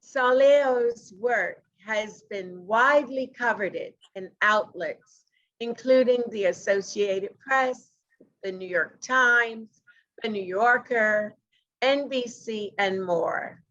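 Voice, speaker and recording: Slow, deliberate woman hosting a podcast. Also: fairly high pitch, a bit bright, highs cut off. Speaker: podcast host. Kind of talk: interview podcast. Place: home living room. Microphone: video call webcam.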